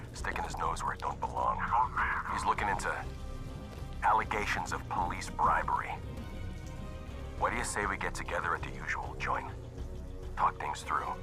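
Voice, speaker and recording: A man's voice: sits low, natural voice. A man playing a shifty, scheming noir character in a game cutscene, conspiratorial and evasive. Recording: music behind.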